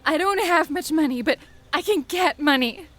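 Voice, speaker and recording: A woman's voice: sits high, lively intonation, normal speed, a bit bright. A woman playing a terrified young captive in a horror audio drama, tearful and pleading for her life.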